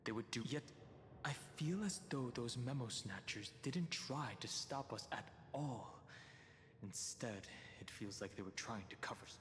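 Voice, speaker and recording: An actor playing a serious, analytical investigator and guide in a video game, speaking in measured exposition. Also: male voice, medium pitch, expressive, fairly bright tone, in a large hall, slight background noise. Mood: pensive. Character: grave, analytical male investigator and protective guide. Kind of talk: scripted video game acting.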